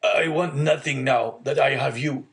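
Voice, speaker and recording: Man playing a frail, gentle old father, raised pitch. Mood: meek, apologetic. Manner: meek, halting, placating.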